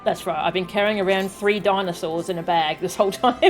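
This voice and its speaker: A woman chatting casually and musingly as she streams a game, pausing and hesitating as she thinks aloud. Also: mid-range voice. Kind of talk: casual live game stream chat with dialogue read aloud. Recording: music behind the voice. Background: game music.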